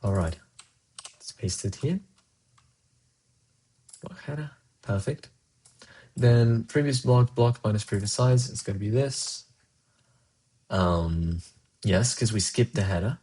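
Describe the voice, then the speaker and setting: A man's voice: on the low side, slow, pauses often, warm tone, husky, rough recording. Man thinking aloud while coding. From Colombia. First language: Spanish. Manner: muses aloud, answers chat between tasks. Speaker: programmer streaming live coding.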